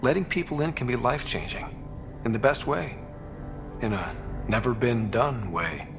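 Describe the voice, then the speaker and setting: A man playing an easygoing young space explorer in a video game, talking casually with dry teasing and quiet support. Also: mid-range voice, moderate pace, creaky, over music, lightly acted. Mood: gently supportive.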